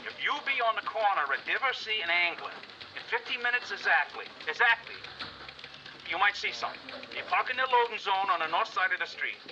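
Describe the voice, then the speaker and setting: An actor with an American accent playing a halting, insistent underworld informant over a telephone in a radio crime drama. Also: male voice, high-pitched, animated, lo-fi sound, background noise. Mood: furtive, insistent. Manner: halting, repeats himself, insistent. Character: nervous, halting underworld tipster on the phone.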